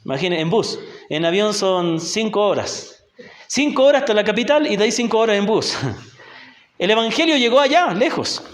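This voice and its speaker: A man's voice: high-pitched, expressive, normal speed, reverberant. A Chilean man, a missionary teacher, leading a Bible class and patiently asking the group questions, often checking in with "ya?". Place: church hall in a rural community.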